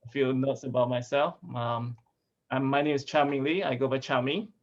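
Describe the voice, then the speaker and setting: A man from Malaysia, a food sensory scientist, giving a relaxed talk over a video call with restarts and chuckles. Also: mid-range voice, normal speed. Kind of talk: casual video-call presentation. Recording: dull lo-fi video call audio.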